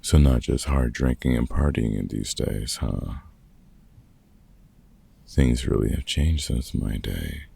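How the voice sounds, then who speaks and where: Casting: gruff older vampire hunter played by a man, fatherly and wry, rock-bottom pitch, heavily husky, for comfort roleplay audio. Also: slow pace, pauses often, warm tone, full character voice. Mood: amused, teasing. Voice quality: very gravelly, husky. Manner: dry, teasing, hesitant with unfamiliar slang. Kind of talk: ASMR roleplay audio drama, one-sided conversation.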